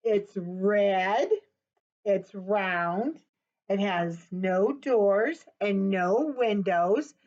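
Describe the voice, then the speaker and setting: Woman doing a soft gentle mother. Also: extremely slow. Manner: soft, low, hushed. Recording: dull webcam audio.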